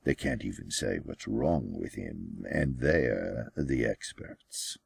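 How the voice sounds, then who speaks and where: A man's voice: rumbling low, lively intonation, slow pace, vocal fry, breathy edge, dry recording, clean studio sound, pitched below the performer's own voice, full character voice. A man reading an audiobook voices a stubborn, bitter old father who speaks in terse demands. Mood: angry, demanding. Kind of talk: audiobook character dialogue.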